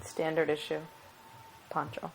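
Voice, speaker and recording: A young woman co-hosting a podcast, chatting in a relaxed way and laughing easily. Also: low voice, measured pace, vocal fry, poor audio. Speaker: podcast co-host. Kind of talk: casual chat.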